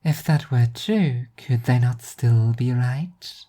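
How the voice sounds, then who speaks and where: Woman as doll-like teenage oracle, flat delivery, pedantic, unnervingly detached, soft dark tone, audio fiction. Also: rumbling low, exaggerated voice. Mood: detached, probing. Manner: flat, measured, formal and pedantic. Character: eerie, emotionless teenage girl prophet, doll-like.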